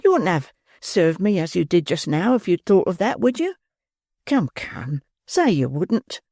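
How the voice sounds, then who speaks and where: A man voicing a pale, worn young woman who speaks softly and pleads tenderly, raising his voice high for her. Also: big pitch swings, brisk, no echo, studio quality. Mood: pleading.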